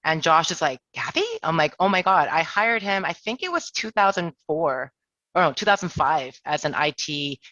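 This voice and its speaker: Bright chatty woman on a video call. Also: low-pitched, lively intonation, normal speed, no room sound, dull audio. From Toronto, Canada. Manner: chatty, self-deprecating, jokey. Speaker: HR director and workshop presenter. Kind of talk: online workshop presentation.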